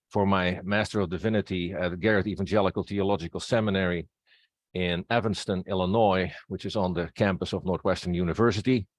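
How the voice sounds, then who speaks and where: A middle-aged man from the Netherlands with a Dutch accent, a native Dutch speaker, introducing himself as a presenter. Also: low voice. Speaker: presenter, district governor-elect and pastor.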